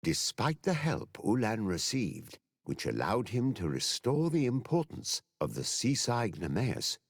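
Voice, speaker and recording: An actor playing an older male mentor narrating a video game epilogue in a measured, expressive storytelling manner. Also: deep voice, gravelly, slightly rough, no echo, clean studio sound, heavily acted.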